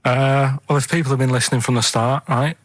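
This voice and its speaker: A man's voice: mid-range voice, fairly even intonation, a little fast. A man chatting casually as a radio co-host, downbeat, grumpy and matter-of-fact.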